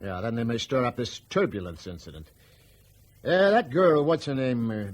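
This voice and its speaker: A man's voice: mid-range voice, lively intonation, moderate pace, lightly acted. A man acting in a radio drama as a ruthless, impatient company boss with a brusque, domineering manner.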